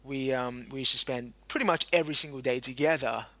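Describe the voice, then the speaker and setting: Man, interview host, sharp bright tone, agreeable, conversational. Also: mid-range voice, brisk, no room sound. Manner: agreeable, frequent backchannels, laughs easily.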